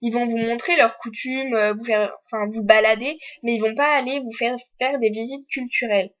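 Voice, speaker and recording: A woman's voice: fairly high pitch, fairly even intonation, normal speed, highs cut off. A teenage girl from France, an exchange student, chatting candidly to the camera with many fillers. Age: teens. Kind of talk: casual chat to camera.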